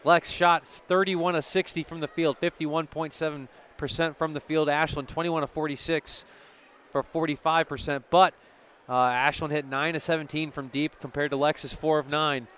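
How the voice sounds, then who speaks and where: A man's voice: fairly high pitch, little pitch movement, normal speed, fairly bright tone, clean tone. An American man giving casual live commentary and stats as a sports broadcast co-host. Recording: noisy gym with crowd behind. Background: crowd noise.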